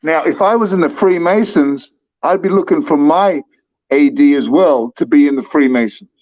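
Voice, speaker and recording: A man's voice: on the high side, moderate pace, muffled recording. A man on a call into a livestream, teasing and insistent, who keeps saying the host's name to get his attention. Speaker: call-in guest. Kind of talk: casual livestream chat.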